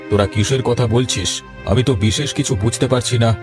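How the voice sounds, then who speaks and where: A man's voice: mid-range voice, fairly even intonation, quick pace, music in the background, close to an everyday voice. A man voicing a kindly, worried older village landlord in a cartoon, earnest and paternal. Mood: troubled, sorrowful. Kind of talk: Bengali fairy-tale cartoon dialogue.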